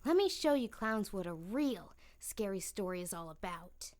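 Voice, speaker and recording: A woman's voice: mid-range voice, animated, normal speed, darkish tone, natural voice. A woman voicing a sarcastic, bossy girl camper in a light-hearted audio drama, dry and dismissive with a theatrical streak. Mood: ominous storytelling.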